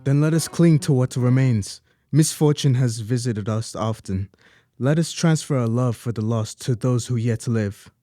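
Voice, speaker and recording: A man's voice: medium pitch, normal speed, warm tone, no room sound, faint music behind, natural voice. A young man playing a protective, grieving older father in a radio play, speaking in a formal, paternal manner. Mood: solemn. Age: young adult. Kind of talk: student radio play acting.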